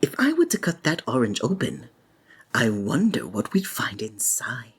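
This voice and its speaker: Woman doing a creepy showman magician guy for asmr, low husky voice, flowery and coaxing, slips into whispers, kinda possessive. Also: huge pitch swings, normal speed, heavily acted. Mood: showy, silky. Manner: flowery, theatrical, honeyed, frequent drops into whisper. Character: flamboyant, sinister male stage magician, courteous, coaxing, possessive. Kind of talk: ASMR roleplay, theatrical monologue.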